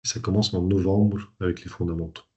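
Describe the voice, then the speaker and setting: A man from Lebanon with a fairly low voice presents a training program to an online group. He talks loosely, with many fillers and restarts. The sound is dull and lo-fi, as on a video call. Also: fairly even intonation, a little fast, mellow. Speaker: coach and trainer hosting a webinar.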